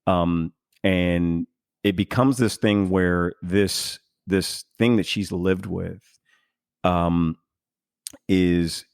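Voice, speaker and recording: Deep-voiced man, halting and earnest. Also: steady tone, gravelly, clean tone, dry recording, studio quality. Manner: halting, searching for words, earnest. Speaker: podcast host. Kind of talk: casual reflective conversation.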